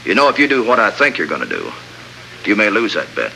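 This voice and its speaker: A brisk military lieutenant in an old radio adventure serial. The voice is fairly low and very sharp, with plain, businesslike phrasing. Light noise sits on the aged recording. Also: male voice, huge pitch swings, creaky, full character voice, low-quality recording. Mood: skeptical. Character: brisk, no-nonsense male Desert Legion lieutenant. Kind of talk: 1950s radio adventure drama acting.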